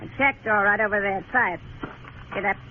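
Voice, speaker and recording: A woman playing a hard, commanding, bitter frontier widow in an old radio western, sharp and curt. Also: medium pitch, brisk, natural voice. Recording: muffled old radio recording, faint noise.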